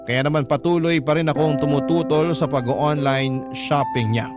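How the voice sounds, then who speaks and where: A man reads a first-person story as a radio storyteller. His voice sits slightly high and his delivery is conversational and confiding. Loud plucked guitar music plays behind him. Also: fairly even intonation, moderate pace. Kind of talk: first-person dramatic story narration of a letter. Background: acoustic guitar music.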